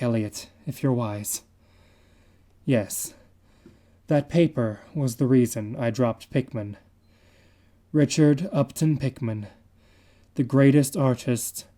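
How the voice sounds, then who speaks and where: Slow, dark-toned male dramatic reader. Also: mid-range voice. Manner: measured, confiding, dramatic. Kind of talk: dramatic reading of a horror story.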